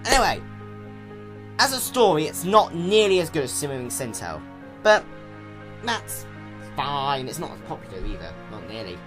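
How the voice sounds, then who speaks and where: A man's voice: fairly high pitch, sing-song, normal speed, pauses often, music in the background. A man giving an unscripted, casual review in a rambling, mock-grandiose way with exaggerated emphasis. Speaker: fan fiction reader and reviewer.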